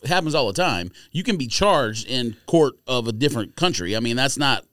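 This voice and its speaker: Male podcast voice, middle register, casual, questioning and insistent; lively intonation, dry sound. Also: medium pitch, moderate pace, no room sound.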